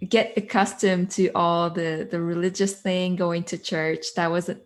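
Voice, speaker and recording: A woman's voice: on the low side, fairly even intonation, measured pace. A woman in her thirties from Quebec with a Quebec French accent, a native French speaker, earnestly telling her story as an interview guest. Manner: earnest, chains thoughts with "so" and "like". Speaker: guest, author and coach. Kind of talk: conversational interview.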